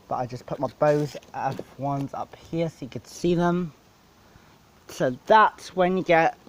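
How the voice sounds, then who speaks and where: A man giving a casual, unscripted equipment walkthrough as a DJ, rambling and halting, with informal, blunt wording. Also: a little high. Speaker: DJ presenting his PA setup.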